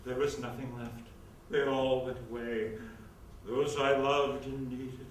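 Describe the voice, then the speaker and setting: An actor playing a melodramatic, scheming, grandiose theater producer who fakes his deathbed, hammy and drawn-out, in a staged comedy. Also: mid-range voice, level tone, distant mic, lightly acted. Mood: feigned dying. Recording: roomy stage recording from afar, faint noise.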